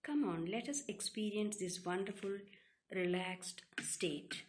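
A woman leads a guided relaxation meditation, giving calm, soothing instructions in short, separated phrases. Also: mid-range voice, measured pace. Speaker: yoga nidra meditation guide.

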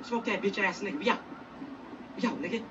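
A man with a high voice fires off quick, aggressive taunts in a game roleplay. His voice is distant, carried through voice chat over clear background noise. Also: lively intonation, lo-fi sound. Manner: aggressive, taunting trash talk. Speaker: roleplayer in a replayed game clip.

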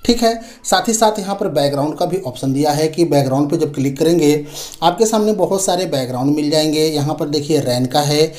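A man's voice: a little high, fairly quick, a little mellow. Indian man giving an app tutorial. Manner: instructive, repeats points, addresses viewers directly. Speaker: tech tutorial video host. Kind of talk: informal step-by-step app tutorial.

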